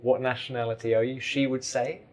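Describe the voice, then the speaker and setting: Man, podcast host, curious, keeps prompting, mid pitch. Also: level tone, brisk, a little hiss or hum. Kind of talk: podcast interview.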